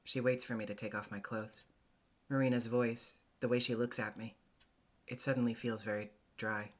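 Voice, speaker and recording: Male visual novel narrator, middle pitch, introspective, deliberate, hesitant, acted first-person reading. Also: flat delivery. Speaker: voice actor, narrator.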